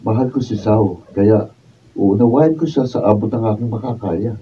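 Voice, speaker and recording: A man's voice: lowish voice, normal speed, lightly acted. A man acting a patient but exasperated young boyfriend in a Filipino radio drama, protesting in a Filipino accent. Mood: devoted. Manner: pleading, protesting, aggrieved. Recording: distant, dull, noisy behind-the-scenes room recording.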